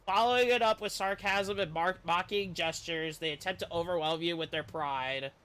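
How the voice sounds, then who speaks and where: A man's voice: a little high, moderate pace, bright, forceful tone, clean tone. A man streaming a game, reading the story text aloud with casual commentary and the odd stumble over words.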